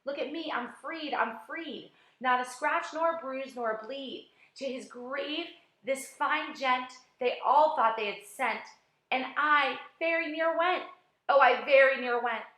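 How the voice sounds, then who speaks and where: A boastful little male centipede, bursting with glee and chanting his rhymes in a high, bright sing-song, as a woman reading a storybook puts him on. The voice rings out in a roomy space. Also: unhurried. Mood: jubilant. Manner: sing-song rhyming, gleeful. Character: boastful excitable male centipede, small and cocky. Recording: roomy echo.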